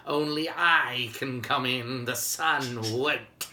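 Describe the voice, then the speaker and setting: A man's voice: mid-range voice, sharp tone. A man voicing a gloating old winter spirit in a storybook tale, drawing out and savouring each word. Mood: smug relish. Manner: slow, drawn-out, savouring words. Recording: roomy, faint background noise.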